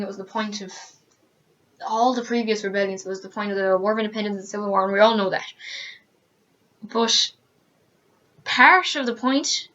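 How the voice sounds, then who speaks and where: A teenage Irish woman with a fairly high voice gives an earnest, rambling rant to camera. She restarts her sentences and leans on fillers as she talks. The sound is a little dull and lo-fi. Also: fairly bright tone. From Ireland. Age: teens. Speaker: student vlogger.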